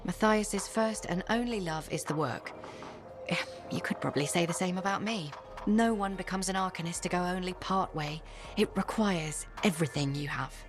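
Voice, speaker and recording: A woman's voice: on the low side, moderate pace, natural voice. Woman playing a candid, dry scholar, music behind. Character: scholarly female arcanist, candid, dryly humorous, easily flustered. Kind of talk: video game dialogue acting.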